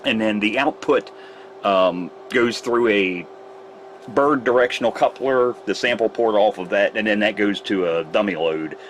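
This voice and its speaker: A man's voice: lowish voice, measured pace, a little hiss or hum, low-quality recording. A man who repairs radios, casually explaining his test setup step by step in a workshop. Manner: rambling, explains gear step by step. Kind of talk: casual technical demonstration. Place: repair shop workbench with test gear.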